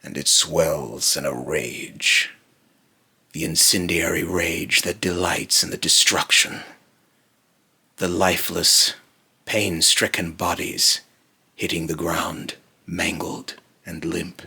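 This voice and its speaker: A man voicing a brooding otherworldly being in a slow, halting, grave monologue, raspy and breathy, warning darkly about mortals. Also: low-pitched, steady tone, sharp tone, full character voice. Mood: dark, menacing. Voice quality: raspy, breathy, lapsing into harsh whisper. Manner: slow, halting, repeats phrases, ominous and grave. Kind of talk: dark dramatic monologue.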